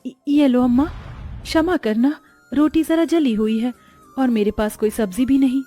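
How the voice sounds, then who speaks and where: A woman's voice: high voice, moderate pace, dark tone, soft background music. A woman voicing a meek, distressed young daughter-in-law, polite and earnest, in a Hindi cartoon moral story. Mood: gentle earnest.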